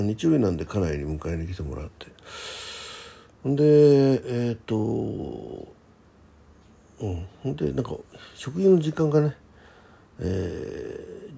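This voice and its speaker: A man, probably middle-aged, chatting casually by himself as a radio host, halting and thinking aloud in short polite phrases. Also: fairly low pitch, vocal fry, warm tone, slightly rough. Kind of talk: casual solo chat about daily life.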